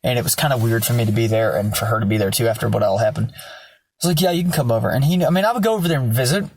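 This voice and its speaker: Hoarse young man rambling to camera. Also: mid-range voice, very fast, darkish tone. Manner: rambling, loose, swears freely. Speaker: vlogger. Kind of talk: casual storytelling vlog. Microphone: self-filmed camera.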